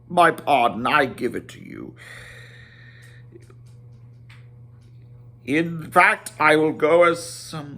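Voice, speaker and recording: Man playing an imposing old wizard, slow and emphatic. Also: fairly high pitch, creaky, sharp tone, full character voice. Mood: insistent, amused. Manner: emphatic, drawn-out, declamatory. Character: imposing, teasing, indignant old male wizard. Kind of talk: dramatic storybook reading.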